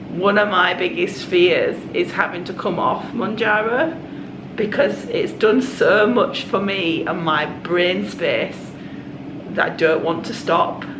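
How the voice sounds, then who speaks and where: A woman in her thirties talks to her camera in a chatty, confiding way, her voice croaky from a cold. The room gives her voice a roomy echo. Steady background noise sits underneath. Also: fairly low pitch. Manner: chatty and confiding, with quick asides. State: hoarse from a cold. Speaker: vlogger. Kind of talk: casual vlog chat.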